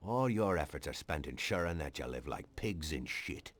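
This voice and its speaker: An actor playing a cynical, righteous police detective in a video game, sarcastic and challenging. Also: male voice, extremely low pitch, expressive, moderate pace. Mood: scornful.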